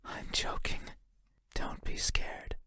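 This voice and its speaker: A man voicing a lonely, faceless horror game entity, menacing and mournful, in a breathy whisper with a slow, halting, intimate delivery. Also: sharp tone. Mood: menacing, teasing. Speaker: voice actor.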